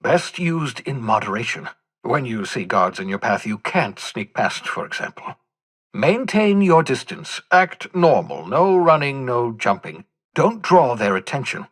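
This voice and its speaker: An actor playing an eccentric, vain older mad scientist in a video game, with a husky voice and a theatrical, erudite, rambling manner. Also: male voice, mid-range voice, sing-song, unhurried, fairly bright tone, full character voice. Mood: proud, explanatory. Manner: theatrical, erudite, rambling, swinging from mutters to exclamations. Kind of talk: video game character dialogue.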